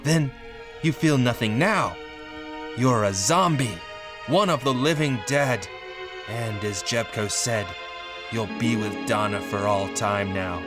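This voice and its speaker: A man's voice: mid-range voice, takes it slowly, music in the background, lightly acted. A man voicing a menacing, gloating villain from a horror comic, dramatic and ominous.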